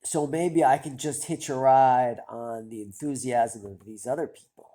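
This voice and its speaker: Host, male, nasal and congested with a cold, mellow husky tone, hesitant self-mocking musing. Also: mid-range voice, measured pace. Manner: self-deprecating, hesitant, rambling. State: stuffy nose from a cold. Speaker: stock-picking channel host. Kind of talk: casual thinking-aloud commentary.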